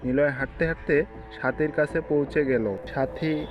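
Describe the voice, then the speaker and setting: A man's voice: fairly high pitch, fairly even intonation, moderate pace, highs cut off. A man narrating a scripted cartoon story, with music playing behind his voice.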